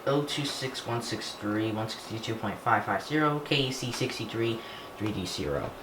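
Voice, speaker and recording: Male hobbyist vlogger, unscripted and halting, half talking to himself, roomy sound with noticeable background noise. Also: lowish voice, expressive. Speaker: hobbyist vlogger unboxing gadgets. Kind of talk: casual unscripted chat while handling a device. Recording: echoey room, clear background noise.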